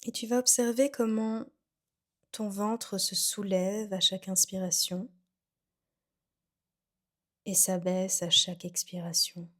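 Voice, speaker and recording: Slow-speaking woman guiding meditation. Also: mid-range voice, little pitch movement. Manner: soothing, unhurried phrases with soft trailing endings. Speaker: yoga nidra instructor. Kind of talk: guided meditation, calm instruction.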